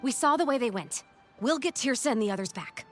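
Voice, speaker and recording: An actress playing a plucky, cheeky young adventurer girl in a family adventure game, excitable and wide-eyed. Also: on the high side, brisk. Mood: curious, determined. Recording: music behind.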